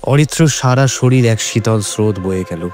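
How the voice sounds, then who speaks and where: A man's voice: fairly low pitch, normal speed, darkish tone. A man narrating a scripted horror story in a dramatic storytelling style. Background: background music.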